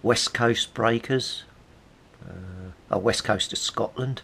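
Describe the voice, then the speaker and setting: A man's voice: on the low side, slow, creaky, bright, forceful tone, husky. A middle-aged British man giving casual, rambling, nostalgic commentary, chatty and musing aloud as he goes. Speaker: hobbyist presenter.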